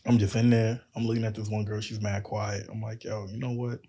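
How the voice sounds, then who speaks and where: A man with a deep voice and a lisp, telling loose stories on a casual podcast and acting out quoted lines. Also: little pitch movement, fairly quick, dark tone, husky. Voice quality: says he has a lisp. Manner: loose storytelling, acts out quotes, laughs often. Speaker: podcast cohost.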